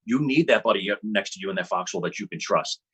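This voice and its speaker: A man's voice: fairly low pitch, quick pace, a bit bright, breathy edge. A man on a panel, making earnest, argumentative points. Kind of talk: panel discussion.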